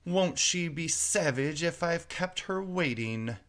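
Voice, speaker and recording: An anxious storybook rabbit, performed by a man, mutters in a raised, high voice. He is fretful and exclamatory, forever in a fearful hurry. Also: expressive, takes it slowly, fairly bright tone, heavily acted. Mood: panicked. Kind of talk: children's storybook character voice.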